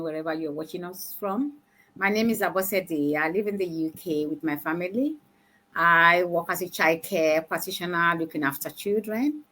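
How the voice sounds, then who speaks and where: A woman's voice: on the low side, bright, forceful tone. A woman on a live stream panel telling her own story in an earnest, hesitant way with many fillers. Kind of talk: personal testimony in a live stream.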